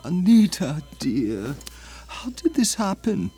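Raspy, doting old gentleman. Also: sits high, very animated, slow, full character voice. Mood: adoring. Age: older. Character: doting, courtly old male suitor. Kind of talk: audio drama acting.

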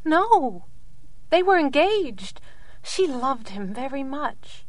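An actress playing a grieving, reserved sister with halting, careful speech in an audio drama. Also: female voice, sits high, very animated, no echo, full character voice. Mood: upset, curt. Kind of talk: subdued audio drama acting.

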